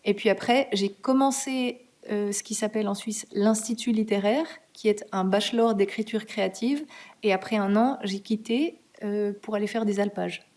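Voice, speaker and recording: A woman from Switzerland, speaking as a guest author at a university talk. She answers in a hesitant, searching way, full of fillers, restarts and light laughter, at an ordinary middle pitch. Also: moderate pace, a little mellow. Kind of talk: conversational Q&A answers. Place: university meeting room.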